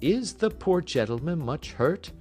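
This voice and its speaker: A gracious storybook lady of the house, voiced by a male narrator. She speaks gently and politely in a raised, lilting pitch, with kindly composure. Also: big pitch swings, measured pace, soft background music. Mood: concerned.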